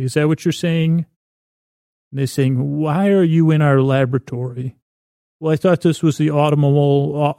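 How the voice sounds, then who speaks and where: Creaky, mellow man rambling softly through tangents. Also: fairly high pitch, no echo, studio quality. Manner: meandering, halting, stumbling over and repeating words; whimsical, self-deprecating, a little silly. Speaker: podcast host. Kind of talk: rambling bedtime podcast monologue.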